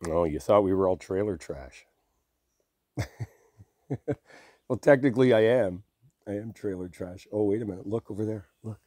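A man playing an affectionate, easygoing boyfriend in an ASMR roleplay, speaking softly and drowsily in an intimate, unhurried way. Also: lowish voice, no echo, close to an everyday voice. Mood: fond, teasing.

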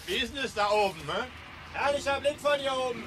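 An actress playing a chatty, bossy amateur filmmaker in a radio drama. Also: female voice, sits high, lively intonation, a little fast, noisy background. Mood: chatty, pleased. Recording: busy outdoor crowd, vehicles.

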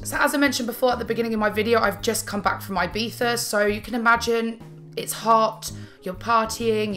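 A woman in her thirties, slightly croaky, chatting enthusiastically to camera about her favourite makeup from a small room at home. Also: mid-range voice, pushed and bright. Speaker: beauty vlogger. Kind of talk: casual favourites haul chat. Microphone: camera mic, close framing.

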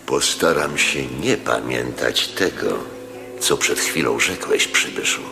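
An actor playing an imperious, skeptical Roman proconsul in a radio drama, speaking in a stately, declamatory way. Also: male voice, extremely low pitch, slow pace, creaky, whispered, over music, full character voice. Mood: disdainful, musing. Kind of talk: theatrical radio drama acting.

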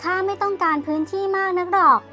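A cunning, greedy vixen from a bedtime storybook, as a woman acts her out, high and very bright in tone. She wheedles and demands in turn. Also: fairly even intonation, fast, faint music behind. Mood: sly. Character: sly greedy female fox. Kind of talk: storybook character voice.

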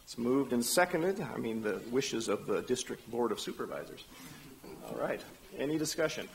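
A man chairing a county council meeting in a council chamber, running hearings briskly with dry asides, speaking into a desk microphone. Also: medium pitch, lively intonation, creaky.

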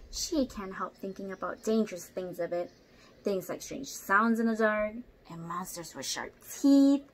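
A young woman reading a picture book aloud expressively at home, pausing to ask child listeners questions. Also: fairly high pitch, slight background noise, low-quality recording. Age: young adult. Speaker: storyteller for children. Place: small room at home, indoors.

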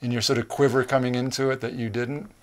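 A man's voice: mid-range voice, little pitch movement, quick pace. A man in his sixties hosting a local TV interview, asking long, friendly questions with restarts in a small studio. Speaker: TV interview host. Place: small TV studio set, indoors.

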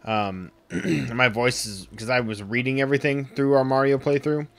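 A man in his thirties with a raspy, sore voice, rambling through casual live-stream commentary at home with lots of ums. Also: mid-range voice, fairly even intonation, moderate pace. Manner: rambling, full of ums and uhs. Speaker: game streamer.

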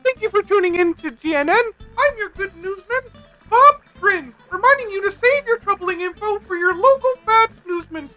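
A performer playing a grinning, smug TV good-news anchorman in an animated film, sunny and upbeat. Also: extremely high pitch, moderate pace, soft background music, exaggerated voice, poor audio. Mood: smugly cheerful.

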